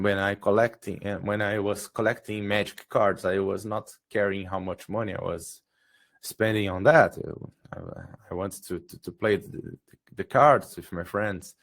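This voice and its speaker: A deep-voiced man with a gravelly, hoarse edge and a Portuguese accent speaks slowly on a video call. English is not his first language, so he hesitates, restarts and fills gaps with "um" as he presents. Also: fairly bright tone. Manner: hesitant, many fillers and restarts. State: hoarse, a bit sick. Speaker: guest presenter. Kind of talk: webinar presentation.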